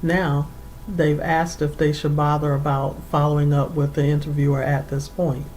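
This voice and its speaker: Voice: flat delivery, normal speed, darkish tone. Formal career coach over background noise. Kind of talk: instructional advice to camera, semi-scripted. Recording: clear background noise with low rumble.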